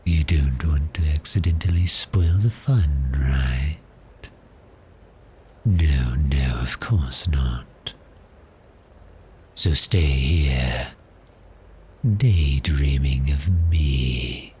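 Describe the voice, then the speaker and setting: A man voicing a suave, teasing vampire in an ASMR roleplay, speaking in a breathy, seductive half-whisper with polite menace. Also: rumbling low, very slow, long pauses, warm tone, a little hiss or hum, full character voice. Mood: soothing, commanding. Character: cheerful predatory male vampire, suave and teasing. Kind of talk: ASMR roleplay, slow intimate monologue.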